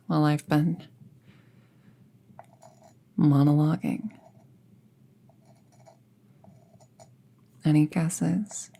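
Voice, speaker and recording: A woman playing a friendly, chatty young apprentice witch in an intimate roleplay audio, musing casually in a soft, husky, breathy voice. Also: sits low, full character voice. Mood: playful, musing. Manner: casual, musing, softly breathy, often near a whisper.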